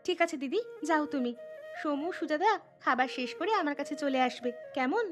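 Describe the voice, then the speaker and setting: A gentle young bride acted by a woman in a family cartoon. She speaks in a high voice with a polite, homesick air. Music plays clearly underneath. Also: expressive, brisk. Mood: kind. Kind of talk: cartoon dialogue. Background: background music.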